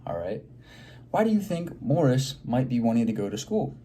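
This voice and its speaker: A man reading a picture book aloud to children in an expressive way, pausing to prompt them with simple questions. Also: medium pitch, slight background noise.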